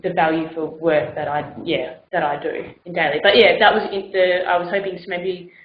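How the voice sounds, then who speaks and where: A woman's voice: fairly low pitch, little pitch movement, moderate pace, a little hiss or hum. A woman who coordinates a community centre, giving workshop feedback in a hesitant way with many fillers. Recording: echoey room, dull lo-fi sound.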